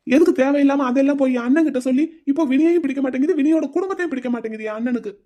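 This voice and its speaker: A resentful young groom, voiced by a performer in an audio drama, firing off quick accusations. The voice is mellow and dark but pushed hard. He keeps pressing his charges. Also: high voice, lightly acted. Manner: accusing, heated.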